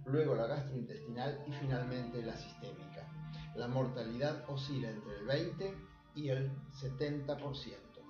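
A man from Argentina with an Argentine accent, a surgeon presenting a clinical case in a measured, formal way. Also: mid-range voice, warm tone, echoey room, muffled recording. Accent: Argentine Spanish. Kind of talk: recorded medical case presentation.

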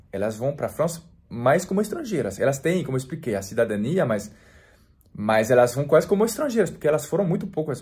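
A man in his forties from France, speaking Portuguese with a French accent in a relaxed, chatty vlog to camera, full of restarts and fillers. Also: medium pitch. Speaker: French teacher and vlogger. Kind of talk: casual talk to camera.

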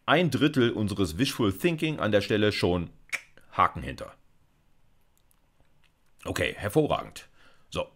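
Low-voiced, lively middle-aged man lecturing. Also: unhurried, long pauses. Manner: thinking aloud, informal. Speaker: university computer science lecturer. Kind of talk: explanatory screencast lecture with live coding. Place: indoors, seated at a computer.

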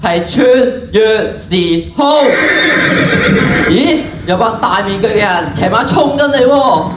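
A teenage boy with a Hong Kong Cantonese accent playing an excitable, greedy young time traveller in a theatrical school radio drama. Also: sits high, slow, noisy background. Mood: animated. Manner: exclamatory, theatrical. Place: large auditorium stage. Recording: echoey hall from far off, music behind.

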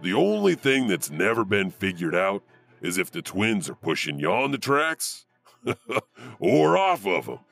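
A man's voice: on the low side, wildly swooping pitch, measured pace, creaky, fairly bright tone, exaggerated voice. A man playing a sinister, theatrical storyteller who narrates urban legends for a horror anthology. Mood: macabre relish. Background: music.

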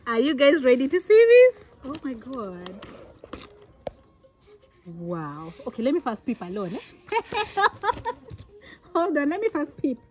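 A young woman from Uganda, a vlogger, unboxing outdoors in a village yard, talking with giddy excitement, laughing often and exclaiming. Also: high voice, slow pace, long pauses, slight background noise. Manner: giggly, breathless with excitement, frequent laughs and exclamations. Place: outdoors in a rural village yard, seated on a mat.

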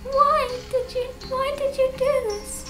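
An earnest little girl from a horror game. The pitch is extremely high and the pace slow. She is childlike, emotional and devoted, with music playing behind her. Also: fairly even intonation, fairly bright tone, reverberant, heavily acted. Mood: hurt, accusing. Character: young girl, earnest, pleading, devoted daughter. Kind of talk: dubbing dialogue of a horror game.

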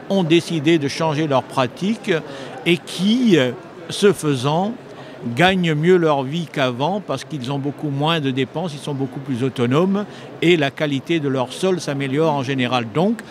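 An older man, a retired journalist, answering interview questions in a measured, explanatory way with frequent hesitations, at a book fair stand into a handheld mic. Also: a little high. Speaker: retired agricultural journalist, author. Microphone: handheld mic held by interviewer. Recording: noisy crowded hall. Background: crowd chatter.

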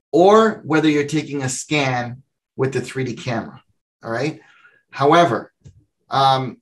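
A middle-aged man on a video call from his home office, calmly walking the listener through a practical explanation. His voice sits at an ordinary middle pitch for a man, and he gestures freely as he talks. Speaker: company founder explaining software. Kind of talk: video call interview answer.

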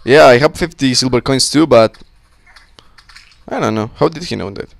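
Gravelly young man with a cold. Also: mid-range voice, normal speed, no echo. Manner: excitable, swearing, joking with friends. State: sick, voice a little off. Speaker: gameplay commentator. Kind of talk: casual gameplay commentary. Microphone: close-up desk mic.

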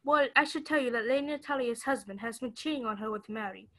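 A woman's voice: fairly high pitch, fast talker, fairly bright tone, low-quality recording. A woman playing a put-upon maid in a mystery radio play, polite and earnest but with some defiance. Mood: nervous. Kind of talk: stage-style mystery radio play acting.

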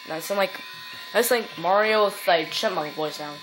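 A woman's voice: medium pitch, normal speed, a bit bright, rough recording. Chatty woman for let's play commentary, rambly and giggly, phone-recorded, light background hiss. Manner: rambling, giggly, lots of fillers and silly rhymes. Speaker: gameplay commentator. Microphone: phone filming the TV.

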